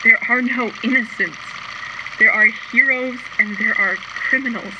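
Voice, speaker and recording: A woman's voice: on the high side, steady tone, measured pace, natural voice. A woman playing a lonely, embittered young showgirl confiding in a plaintive, colloquial radio drama monologue. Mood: wounded, confiding. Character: scarred, lonely, embittered young showgirl keeping audio diary. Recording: dull lo-fi recording, loud background noise.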